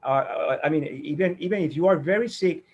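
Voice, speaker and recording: Interview guest, male, first speaker, slightly high voice, earnest, rambling. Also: a little fast, muffled recording. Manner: earnest, rambling with many fillers and restarts. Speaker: guest, sound healer and tenor. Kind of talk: interview answers.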